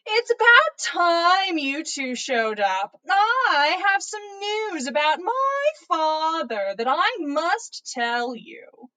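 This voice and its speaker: A woman voicing a self-important boy wizard in a fan story, with grand, drawn-out delivery. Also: extremely high pitch, big pitch swings, bright, forceful tone, no room sound, pitched above the performer's own voice, exaggerated voice, poor audio. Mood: grand announcing.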